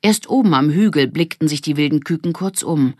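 A woman reading a children's audiobook as an expressive scripted narrator. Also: low-pitched, a little fast, no echo, studio quality.

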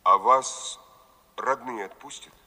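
An actor playing an attentive, businesslike male operator from the future in a Soviet radio play, brisk and official. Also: mid-range voice, pushed and bright, heavily acted. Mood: attentive, probing.

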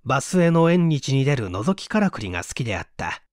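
A man's voice: mid-range voice, very animated, a little fast, a little mellow, no room sound, clean studio sound. A man narrating a literary story as a dramatic reader.

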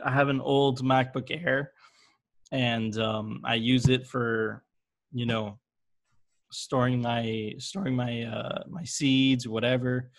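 A young man chatting casually and informally in slangy English as a podcast interviewer. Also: mid-range voice. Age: young adult. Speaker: podcast host. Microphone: close desktop microphone with headset.

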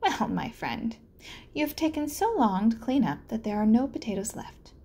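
A woman's voice: on the high side, big pitch swings, normal speed, mellow. A woman voicing a sly, greedy male spider in a children's storybook, with a fussy, polite manner. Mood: smug, scolding. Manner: polite, prim, throat-clearing. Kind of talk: children's storybook read-aloud.

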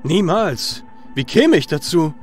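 A nonchalant, cocky male hero as a man acts him in a fantasy radio play. His voice sits a bit high and he talks bluntly and casually, with music playing underneath. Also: sing-song, takes it slowly. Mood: surprised. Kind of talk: fantasy audio drama acting.

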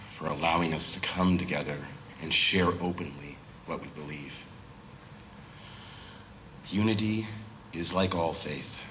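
A man's voice: sits low, pushed and bright, slight background noise. Nasal man with a cold, echoey church. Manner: a little nervous, earnest. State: has a cold, slightly nasal. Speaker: guest speaker. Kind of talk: church talk with prayer. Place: church sanctuary at a pulpit, indoors. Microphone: far camera with pulpit microphone. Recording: roomy echo of a church hall.

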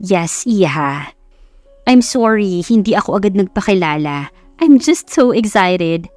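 A woman's voice: medium pitch, expressive, measured pace, a little mellow, no echo, faint music behind, lightly acted. A woman voicing a gushing, scheming middle-aged rich mother in an audio romance story, drawing out her words. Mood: excited, gushing.

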